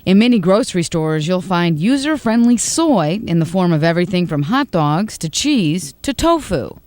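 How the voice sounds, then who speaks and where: A woman narrates a scripted news report. Her voice is fairly low and recorded very dry, without room sound. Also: big pitch swings, normal speed, a little mellow, no echo.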